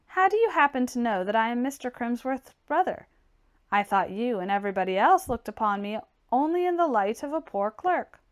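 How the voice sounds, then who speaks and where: A woman voicing a quiet, reserved young male clerk in an audiobook, polite, guarded and formal. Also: a little high, very animated, a little fast. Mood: reserved, questioning. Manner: restrained, soft-spoken, formal. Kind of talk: dramatized audiobook character reading.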